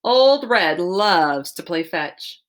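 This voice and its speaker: A woman's voice: on the low side, expressive, very slow. A woman voicing a friendly, easygoing, folksy man with a dog in a children's storybook.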